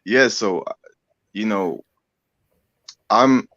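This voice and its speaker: A man in his thirties, raised in France, speaking English as a second language while a little sick, halting and searching for words in a casual discussion. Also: fairly low pitch, fairly bright tone, no echo. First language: Algerian family language, not English. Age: 30s. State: sick, coughing. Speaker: guest. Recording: dry, dull lo-fi audio.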